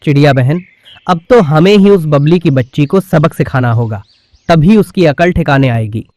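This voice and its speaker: A man's voice: a little high, lively intonation, normal speed, a little mellow, no room sound, natural voice. A man voicing a firm male pigeon who leads the forest birds in a children's cartoon, speaking decisively as if giving instructions. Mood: resolute.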